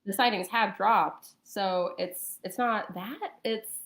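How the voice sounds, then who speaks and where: A woman's voice: mid-range voice, slow, fairly bright tone. A woman in casual conversation, talking hesitantly and peppering her sentences with "like". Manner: hesitant, filler-heavy, says like often. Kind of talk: casual chat. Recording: roomy, echoing sound.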